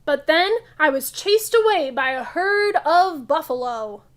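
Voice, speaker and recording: An excitable young storybook adventurer voiced by a woman reading aloud speaks in a high voice. The delivery is animated and emphatic, yet takes its time over the phrases. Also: unhurried. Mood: excited.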